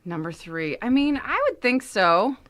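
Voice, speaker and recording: A woman co-hosting a casual, playful chat show, with an animated and expressive way of talking. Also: fairly high pitch, a little slow. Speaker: podcast co-host.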